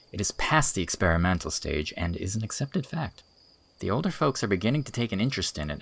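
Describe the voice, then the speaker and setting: A man's voice: deep voice, a bit bright, exaggerated voice. A man playing an earnest, teasing young village schoolteacher in audiobook dialogue, articulate and enthusiastic.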